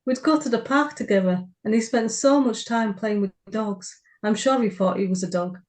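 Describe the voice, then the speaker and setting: A woman's voice: medium pitch, normal speed, a little mellow. A woman co-hosting a writers' podcast, reading short fiction aloud. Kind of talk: story reading.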